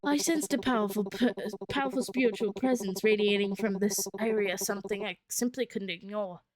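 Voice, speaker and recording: A woman's voice: medium pitch, fairly even intonation, moderate pace, natural voice, rough recording. A woman voicing a concerned, formally spoken spiritual investigator in a supernatural story. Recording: music under the voice.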